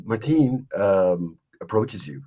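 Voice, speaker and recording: A man's voice: fairly low pitch, big pitch swings, slow pace, mellow. A man hosting a podcast interview, talking in a hesitant way with many fillers and self-corrections. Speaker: podcast host. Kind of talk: interview, reading an introduction.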